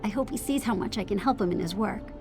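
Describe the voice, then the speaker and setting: A woman's voice: medium pitch, fast talker, lightly acted. A woman voicing an eager, anxious young apprentice hopeful in a fantasy game, speaking earnestly. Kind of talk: AI-voiced fantasy game dialogue. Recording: music behind the voice. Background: game music.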